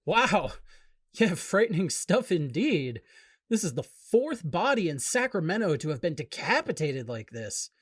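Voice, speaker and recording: Brief: man doing a TV field reporter, higher voice, dramatic news cadence, dry mic. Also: big pitch swings, measured pace, husky, no echo, heavily acted. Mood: newsy. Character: upbeat male TV field reporter. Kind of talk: audio drama acting.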